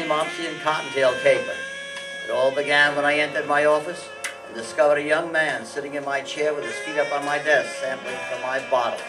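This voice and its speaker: A man's voice: on the high side, lightly acted. Older man playing a wisecracking private eye, distant mic. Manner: sardonic, hard-boiled slangy delivery. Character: wisecracking, world-weary male private detective. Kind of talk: amateur radio play reading before an audience. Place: stage of a community meeting hall. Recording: distant mic in hall, music behind.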